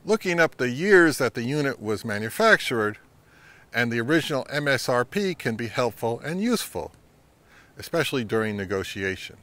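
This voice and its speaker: A middle-aged man with a North American accent hosts an informal advice video. His intonation is lively and emphatic, moving freely up and down. The sound is dry and close, with no room reflections, as he talks outdoors. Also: medium pitch, moderate pace, no room sound. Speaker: vintage audio channel host. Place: outdoors in woodland.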